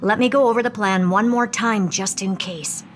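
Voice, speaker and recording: A self-assured woman voiced by an actress going over plans, mid-pitched and businesslike. She sounds certain of success. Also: moderate pace, slight background noise, natural voice. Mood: brisk. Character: brisk, confident woman planning a mission. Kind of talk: game voice acting.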